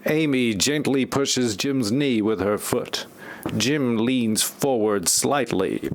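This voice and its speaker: A man's voice: mid-range voice, slow pace. A man narrating a parody audio play from a script, with wry asides.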